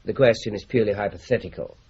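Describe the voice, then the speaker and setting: A man's voice: fairly low pitch, very fast, a little mellow, slightly rough. An actor playing a polite but persistent male police inspector questioning people in a radio drama. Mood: probing. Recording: dull lo-fi broadcast recording.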